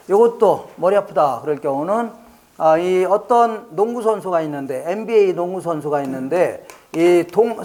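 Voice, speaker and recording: Guy teaching exam prep class, kinda high voice, jokey, keeps asking the class questions then answering them. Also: darkish tone. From Chungcheong region, Korea. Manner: quizzes listeners with rhetorical questions, then answers himself; playful, jokey, drills mnemonics by repetition.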